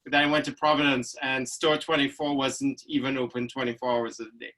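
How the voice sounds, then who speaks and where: A man's voice: medium pitch, flat delivery, a little fast, sharp tone, poor audio. A middle-aged man with a Trinidadian accent, a physician guest telling stories casually on a video call. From Trinidad and Tobago. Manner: chatty, storytelling with fillers like "like" and "you know". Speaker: infectious disease physician guest. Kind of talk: relaxed anecdotal conversation.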